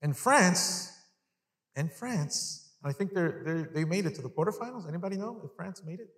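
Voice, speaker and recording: A man's voice: high-pitched, expressive, moderate pace, a bit bright. A 55-year-old man from Long Island, New York, preaching conversationally to a congregation, repeating phrases for emphasis and putting questions to his listeners. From Long Island, New York, United States (born in El Salvador). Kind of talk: sermon to a live congregation. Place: large indoor church hall, speaking to an audience. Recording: echoey hall with long reverb.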